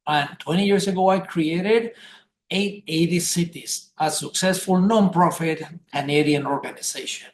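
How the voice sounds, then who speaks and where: An older man from Colombia, hosting a webinar over a video call and introducing his guest deliberately and emphatically. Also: fairly high pitch. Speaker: webinar host. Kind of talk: scripted introduction. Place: indoors at a home desk, blurred background. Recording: roomy echo.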